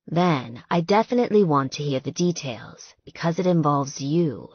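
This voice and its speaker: A woman's voice: lively intonation, normal speed, husky, dry recording, dull audio, full character voice. A woman voicing a blunt, jealous, protective man, the hero of a romance audiobook. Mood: coaxing. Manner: blunt, low-key. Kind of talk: audiobook character dialogue.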